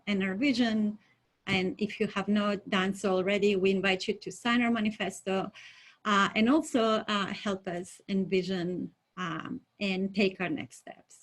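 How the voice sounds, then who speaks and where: A woman from Greece, a native Greek speaker, giving a prepared online presentation as a coalition member. She speaks in an earnest, measured way with frequent ums and uhs. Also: medium pitch.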